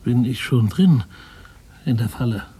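A man's voice: medium pitch, animated, unhurried, dark tone, husky. An actor playing an agitated, suspicious investigative journalist in a German radio drama, insistent and distrustful in his questioning. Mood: sceptical, probing.

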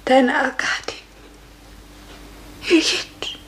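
An actress playing an anxious, sorrowful former dancer, earnest and fragile, in a 1950s radio drama. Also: female voice, high voice, extremely slow. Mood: hushed, moved. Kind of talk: 1950s German radio play acting. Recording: roomy, hissy 1950s radio recording.

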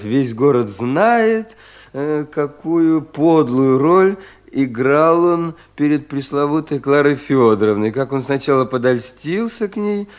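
An actor playing a despairing, bitterly mocking young provincial official in a theatrical radio drama, with long dragging pauses. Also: male voice, a little high, clean tone. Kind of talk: 1970s Russian radio drama acting.

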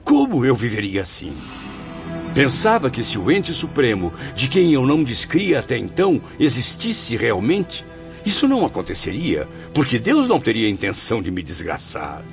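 An actor with a Brazilian accent playing a proud, embittered blind writer's spirit in a radio soap, declaiming theatrically. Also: male voice, medium pitch, wildly swooping pitch, normal speed. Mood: anguished. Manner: theatrical, declamatory, grandiose, with swelling and sinking emphasis. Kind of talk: radio soap opera acting. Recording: dull lo-fi audio, music behind.

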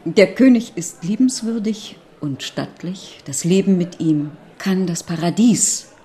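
An actress playing a worldly, scheming society countess, confiding and insinuating, in a German radio drama. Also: female voice, fairly low pitch, measured pace, dark tone, close to an everyday voice. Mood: confiding, persuasive.

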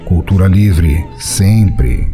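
A man hosting a podcast, giving relaxed, chatty closing remarks that wander a little as he talks to his listeners. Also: sits low, drawn-out words, faint music behind. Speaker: podcast host.

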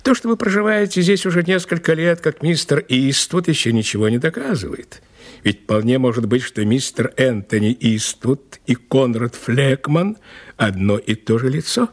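An actor playing a dutiful police detective inspector in a radio drama, with a husky voice and an official, polite manner. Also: male voice, medium pitch, animated. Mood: dutiful, cautious. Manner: official, measured, deferential. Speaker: actor in an audio play. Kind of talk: Russian radio drama acting.

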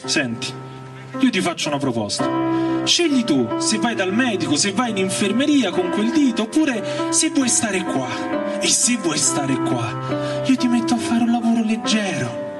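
A man's voice: high-pitched, sing-song, moderate pace, far from the mic. A man voicing a curt, threatening factory foreman in a dramatic novel reading, bossy and bargaining. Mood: menacing. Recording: voice off-mic under loud music.

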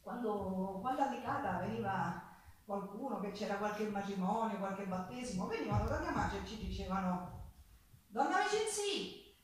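An actress playing a Sicilian woman from a poor family, fondly recalling her girlhood in an expressive, halting stage monologue with a Sicilian accent. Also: mid-range voice, lightly acted. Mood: joyful, nostalgic. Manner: expressive, reminiscing, halting with repeated words. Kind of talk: theatrical monologue with folk songs. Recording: echoey hall, distant mic, guitar music.

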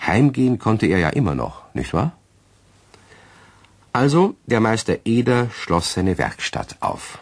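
Knowing radio-play narrator, husky, very animated intonation, dry close sound, confiding. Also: male voice, lowish voice, unhurried, no echo, full character voice. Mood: genial, confiding. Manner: confiding, addresses the listener. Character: knowing, genial storyteller.

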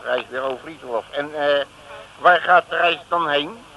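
An actor playing a cocky, self-satisfied comic detective who blusters in colloquial slang in a 1950s radio comedy serial. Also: male voice, a little high, normal speed, lo-fi sound, natural voice. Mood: blustering, defiant. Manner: colloquial, blustering, tags phrases with questioning grunts. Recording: noisy vintage radio recording.

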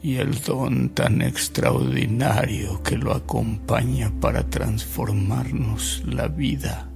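A frail, devout older Mexican man in a radio drama, earnest and reverent. His fairly deep voice is full of creak and moves very slowly, with solemn pauses. Music plays clearly behind him. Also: animated, exaggerated voice. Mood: earnest, moved.

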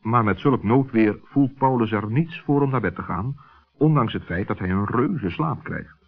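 A man's voice: lowish voice, normal speed, husky. A man narrating a scripted children's story as the narrator of an old radio play. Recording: muffled old radio recording, faint music.

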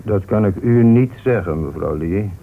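A man playing a composed police inspector in a radio play, courteous and unhurried as he insists on answers. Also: fairly low pitch, husky. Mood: calm, firm. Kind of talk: Dutch radio play acting. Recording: noisy, phone-like old radio recording.

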